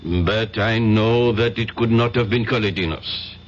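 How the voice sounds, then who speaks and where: An actor playing a dismissive French police inspector in a 1970s radio drama, speaking with a French accent and a fussy, halting manner. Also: male voice, on the low side, natural voice. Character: pompous French police inspector, middle-aged man. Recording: dull old radio broadcast with faint hiss.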